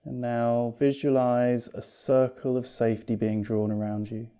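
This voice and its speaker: A man leading a guided yoga meditation as a teacher, calm and unhurried, speaking in a soothing, gently reassuring way. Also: mid-range voice, smooth.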